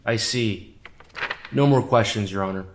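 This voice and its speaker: A man's voice: fairly low pitch, measured pace, a little hiss or hum, close to an everyday voice. A man playing a formal, skeptical trial lawyer questioning witnesses in an audio drama. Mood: probing, formal.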